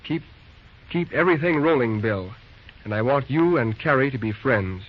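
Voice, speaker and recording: Brisk, confident young railroad boss played by a man, old-time radio serial, faint hiss. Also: mid-range voice, lively intonation, close to an everyday voice. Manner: brisk, earnest. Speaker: acting railroad superintendent. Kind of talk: 1930s radio drama acting. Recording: dull noisy old radio recording.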